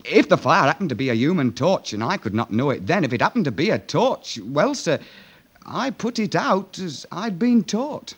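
A man's voice: a little high, very animated, moderate pace, husky. An actor playing an earnest, deferential young fireman in a radio drama, speaking hesitantly and respectfully. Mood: earnest, pleading.

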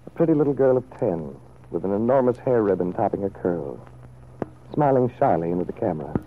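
A man's voice: lowish voice, big pitch swings, fairly quick. A man narrating a scripted old-time radio serial as a storyteller. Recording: muffled, noisy vintage radio recording.

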